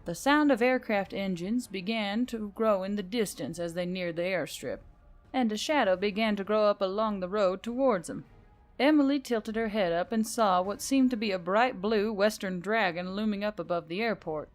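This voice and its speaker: A woman's voice: mid-range voice, normal speed, faint music behind. A woman reading scripted fiction as a story narrator.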